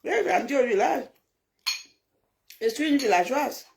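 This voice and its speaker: A woman's voice: sits high, lively intonation, a little slow, vocal fry, slight background noise. A woman chatting on a live stream, blunt and scolding, emphatic and given to repeating herself. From francophone Africa, grew up in a village. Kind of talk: casual live stream chat, replying to viewers' comments.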